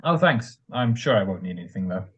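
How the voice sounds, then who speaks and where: A man's voice: fairly low pitch, sing-song, fairly quick. A man playing a nervous, apologetic, hesitant stranger in a radio drama. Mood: nervously polite. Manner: hesitant, polite. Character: nervous, apologetic male stranger asking a favour. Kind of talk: classic radio drama acting. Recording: muffled, clearly noisy home recording.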